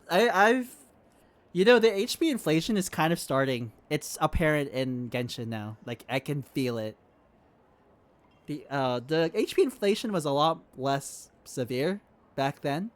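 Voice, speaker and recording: A young adult man streaming a game, chatting casually in a rambling, sweary way. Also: mid-range voice. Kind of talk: casual chat while playing.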